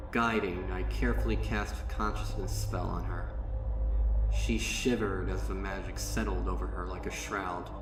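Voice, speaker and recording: A man reading a horror story as a dramatic narrator, grave and deliberate, with pauses for effect. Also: on the low side. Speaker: fan fiction narrator. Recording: roomy, distant voice over music. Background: music.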